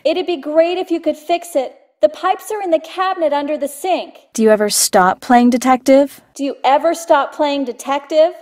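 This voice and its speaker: A woman's voice: sits high, darkish tone. An actress playing a friendly young woman who owns a boat in a mystery game, recording chatty lines and alternate takes. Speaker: game character.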